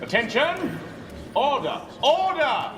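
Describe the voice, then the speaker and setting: Male actor as formal officious society doctor, high-pitched, animated, echoing room, radio drama. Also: slow, exaggerated voice. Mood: commanding. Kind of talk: radio play acting. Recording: echoey room with faint background noise.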